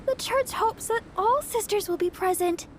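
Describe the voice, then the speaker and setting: A woman's voice: very high-pitched, moderate pace, a little hiss or hum. A woman voicing an earnest, trusting young church sister in a video game, eager and easily flustered. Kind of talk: video game cutscene dialogue.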